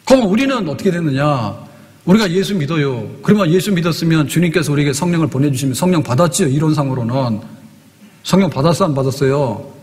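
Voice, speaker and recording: A man's voice: on the high side, expressive, fast, darkish tone. A man preaching a Sunday sermon as pastor, emphatic and often asking the congregation questions. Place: church sanctuary. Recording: long church reverb.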